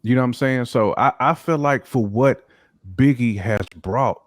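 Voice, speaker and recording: A man's voice: medium pitch, normal speed, dry recording, studio quality. A man co-hosting a casual hip hop discussion, speaking emphatically and repeating phrases to build his points. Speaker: podcast co-host.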